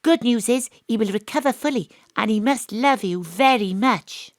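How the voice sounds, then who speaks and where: A woman's voice: on the high side, normal speed, no room sound, studio quality, lightly acted. A woman playing a shrewd detective. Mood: consoling. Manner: confident, probing, coolly persuasive. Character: sharp, knowing female private detective. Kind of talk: audio drama acting. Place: home studio.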